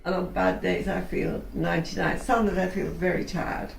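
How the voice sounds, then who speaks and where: A woman in her eighties giving slow, thoughtful interview answers, chuckling now and then. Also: on the low side, little pitch movement, creaky, slight background noise. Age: 80s. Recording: roomy echo, somewhat distant, faint music.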